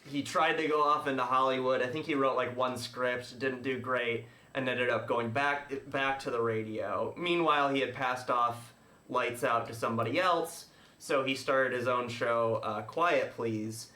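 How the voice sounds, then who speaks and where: A man's voice: medium pitch, steady tone, a little slow, bright, forceful tone. A man hosting a livestream, chatting casually and explaining things in a hesitant, rambling way. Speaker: podcast livestream host. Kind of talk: casual chat. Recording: echoey room.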